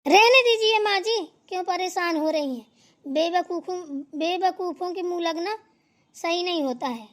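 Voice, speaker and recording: A woman's voice: very high-pitched, moderate pace, a bit bright. A woman voicing a catty, indignant young daughter-in-law in a family cartoon, whining and accusing as she tattles. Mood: disdainful. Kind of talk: amateur Hindi family cartoon dialogue.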